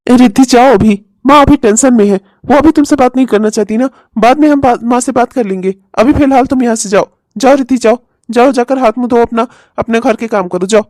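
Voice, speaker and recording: Concerned family peacemaker, played by a woman, high voice, placating, drama acting, dry sound. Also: fairly quick, a little mellow, no room sound, natural voice. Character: concerned grown child of the house, calming mother.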